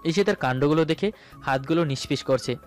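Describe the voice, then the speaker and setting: Higher-voiced man narrating a romantic story. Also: fast. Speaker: story narrator and male lead. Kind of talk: dramatic first-person romantic story narration. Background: background music.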